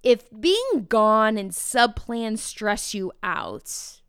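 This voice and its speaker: Bubbly, bright-voiced woman host. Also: fairly high pitch, very slow, clean tone, no room sound, clean studio sound. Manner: energetic, chatty, enthusiastic. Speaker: podcast host. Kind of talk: upbeat podcast talk to teachers.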